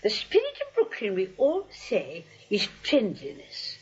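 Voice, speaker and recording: A woman's voice: medium pitch, huge pitch swings, a little slow, a little mellow, breathy edge, full character voice. A woman playing a sweet, chatty elderly spinster aunt in a comic radio play, gushing and politely hospitable. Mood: gushing, genteel. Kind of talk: radio play comedy acting. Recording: dull lo-fi radio broadcast.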